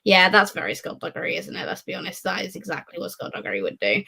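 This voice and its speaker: A woman's voice: lowish voice, animated, fast, creaky, sharp tone, breathy edge. A woman in a casual live book discussion, rambling along in a wry, sweary way. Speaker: book readalong panellist.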